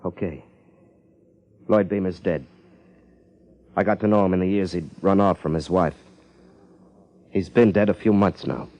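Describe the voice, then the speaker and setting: A man acting a sullen, slow-witted crook in a 1950s radio drama, hesitant and guarded. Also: low voice, a little mellow, highs cut off. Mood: guarded, resigned. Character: cornered small-time male crook, sullen, slow-witted impostor.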